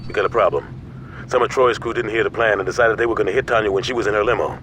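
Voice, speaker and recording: A man playing a brisk gang leader. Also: on the low side, a little hiss or hum, lightly acted. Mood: serious. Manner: calm, brisk, giving orders. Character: serious male gang leader giving orders. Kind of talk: video game cutscene acting.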